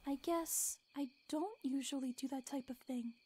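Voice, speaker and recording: A woman voicing a timid, gentle young female pony in a cartoon, speaking hesitantly and apologetically. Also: sits high, moderate pace, close to an everyday voice. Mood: apologetic. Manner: hesitant, apologetic, softly earnest. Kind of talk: animated fan drama acting.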